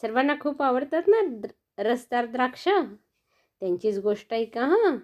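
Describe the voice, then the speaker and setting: A woman's voice: fairly high pitch, lively intonation, normal speed, a little mellow, muffled recording. A woman telling a bedtime story to children in a sing-song, coaxing manner, often asking them questions.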